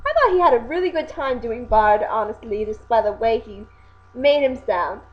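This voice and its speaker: A young woman co-hosting a casual video, chatting excitably and often correcting herself. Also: on the high side, normal speed. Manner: animated, self-correcting, excitable. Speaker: video co-host reviewing anime voice acting. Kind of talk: casual chat. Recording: dull lo-fi home recording.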